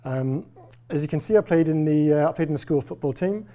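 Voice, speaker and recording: Man in his forties, faint Welsh accent, slightly high and warm-toned; relaxed, wry lecturer. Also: fairly quick. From Wales. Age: 40s. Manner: conversational, wry and self-deprecating. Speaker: professor giving a lecture. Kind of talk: public lecture. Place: lecture hall with a live audience.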